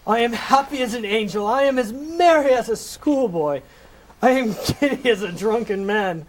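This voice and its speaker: A man's voice: very high-pitched, expressive, normal speed, slight background noise. A man playing a repentant old miser in a theatrical, emotional style for an amateur comic audio play. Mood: giddy joy. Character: repentant old male miser, desperate then giddily overjoyed.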